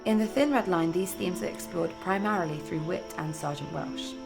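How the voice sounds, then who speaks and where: Low-voiced woman narrating with a creaky edge. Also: moderate pace, over music. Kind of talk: scripted video essay narration.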